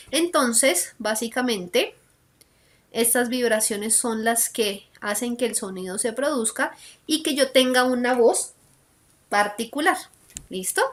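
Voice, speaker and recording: Colombian woman teacher with a higher voice. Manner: didactic, conversational, checks understanding often with short tag questions. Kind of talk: explanatory school lesson, informal.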